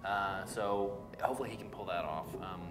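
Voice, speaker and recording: A man's voice: sits low, normal speed, over music. A middle-aged man hosting a casual entertainment news chat, with a dry, sarcastic manner. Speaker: geek talk show host. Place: indoor room, panel around a table. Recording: roomy echo, faint background noise.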